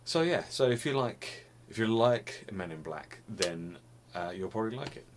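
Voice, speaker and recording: A young man with a very deep, low voice talks loosely to the camera, circling back and repeating himself as he goes. He sounds a little sniffly. It is recorded on a desk camera in a small room at home, with a faint hiss behind him. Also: expressive, normal speed. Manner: rambling, restarts phrases, laughs often. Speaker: vlogger. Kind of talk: casual chat to camera.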